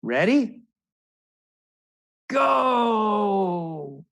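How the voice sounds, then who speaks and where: A man's voice: high voice, very animated, very slow, a bit bright, pitched above the performer's own voice, exaggerated voice. A man voicing an encouraging parent shorebird in a children's storybook, urging the chicks on emphatically. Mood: urgent.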